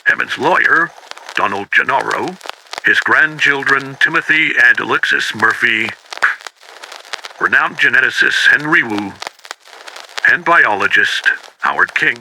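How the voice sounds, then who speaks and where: A man playing a grave investigator who records a deliberate, official case report as a 1990s horror audio log. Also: mid-range voice, expressive, creaky, pushed and bright, full character voice. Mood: grave, solemn. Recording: noisy, harsh-edged radio-like tape recording.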